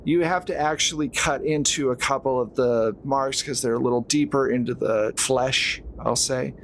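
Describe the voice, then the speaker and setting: A man's voice: mid-range voice, moderate pace, a little hiss or hum. A man running a horror tabletop roleplaying game, narrating scenes descriptively and conversationally. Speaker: game master.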